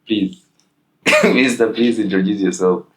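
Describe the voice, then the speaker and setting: A man's voice: fairly low pitch, slow, fairly bright tone. A young man hosting a casual interview, talking in a hesitant way with many fillers. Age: young adult. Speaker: host.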